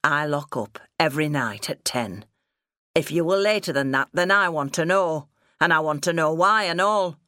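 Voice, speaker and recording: A woman's voice: low voice, normal speed, sharp tone, dry recording, clean studio sound. A woman voicing a stern, gaunt farmer father in an audiobook, with a growling, sarcastic manner and rustic dialect. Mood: scolding, stern. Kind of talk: audiobook character dialogue.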